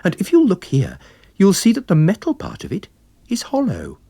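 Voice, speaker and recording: A man voicing an affable, observant wine salesman and amateur sleuth, polite and gently pointed, in a dramatised story reading. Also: high voice, wildly swooping pitch, moderate pace, no echo, voice pushed up, full character voice. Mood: eagerly explaining.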